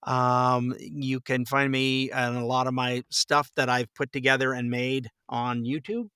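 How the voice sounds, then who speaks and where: A man's voice: mid-range voice, little pitch movement, unhurried, bright, forceful tone, smooth, dry recording, studio quality. A man chatting casually as a podcast guest, with a lighthearted, folksy and jokey manner. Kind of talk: casual chat.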